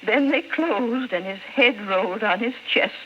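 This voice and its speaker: A woman's voice: on the high side, unhurried, natural voice. An actress playing a kindly, fretful landlady in old-time radio drama style, hesitant and deferential as she speaks. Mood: shaken, sorrowful. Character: kindly, fretful female rooming-house landlady. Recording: muffled, noisy vintage radio recording.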